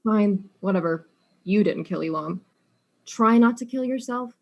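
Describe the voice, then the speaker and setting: A woman's voice: mid-range voice, unhurried, lo-fi sound, natural voice. A woman playing a blunt, exasperated detective in a comic audio drama, dry, impatient and sarcastic. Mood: stern.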